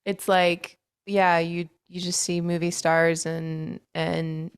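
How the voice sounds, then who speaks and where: A woman's voice: fairly low pitch, little pitch movement, slow pace, smooth. A woman from Nashville co-hosting a podcast in casual conversation. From Nashville, United States. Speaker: podcast co-host. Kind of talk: casual chat.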